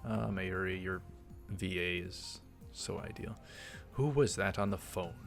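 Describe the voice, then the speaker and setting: A man's voice: low-pitched, a little slow, gravelly. A man hosting a let's play, reading a visual novel aloud and adding casual, hesitant, rambling asides. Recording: faint music behind. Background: visual novel background music.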